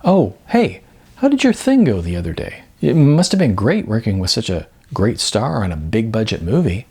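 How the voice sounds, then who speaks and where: A man's voice: fairly low pitch, huge pitch swings, normal speed, darkish tone, slightly rough, full character voice. A man voicing a bubbly, starstruck young female model in an audiobook story, gushing in breezy chatter. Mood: perky, curious. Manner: gushing, breezy chatter peppered with filler words.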